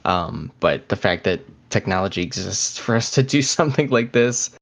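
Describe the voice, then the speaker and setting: A man co-hosting a podcast in casual, slightly halting conversation, recorded remotely from home. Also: fairly low pitch, lively intonation. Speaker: podcast co-host.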